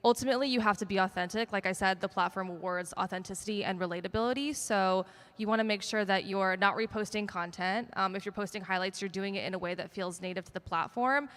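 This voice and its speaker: A woman's voice: mid-range voice, quick pace, a bit bright. A woman speaking casually on a conference panel, with many filler ums. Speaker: panelist, social media sports partnerships. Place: conference stage. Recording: long reverb of a conference hall.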